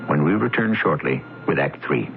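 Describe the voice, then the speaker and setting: A man's voice: extremely low pitch, animated, moderate pace, vocal fry. A man giving ominous, theatrical narration as the host of a radio drama. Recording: old radio broadcast, phone-like with music.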